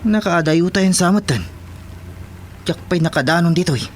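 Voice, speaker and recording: A jeering male gang sidekick played by a man in an Ilocano radio drama. His voice runs a little higher than most men's. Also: normal speed, a little hiss or hum, natural voice. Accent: Ilocano Filipino.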